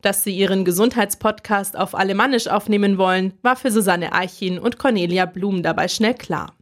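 A female radio reporter reads her scripted feature narration clearly and at a measured, even pace. Her voice sits at a middle pitch, neither high nor low.

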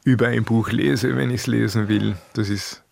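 A man's voice: medium pitch, fairly even intonation, normal speed, creaky, darkish tone, no room sound. A middle-aged Austrian man from the Alps, an author being interviewed, talking in a dry, laconic way and chuckling often. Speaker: author guest. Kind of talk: relaxed interview talk.

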